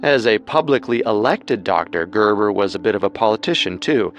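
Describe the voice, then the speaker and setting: Low-voiced male true-crime narrator. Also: animated, a little fast. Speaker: podcast host and narrator.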